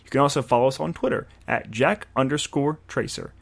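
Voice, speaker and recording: A man's voice: mid-range voice, fairly quick, gravelly. A man reading scripted end credits and announcements for an audio drama. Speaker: credits reader. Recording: music under the voice.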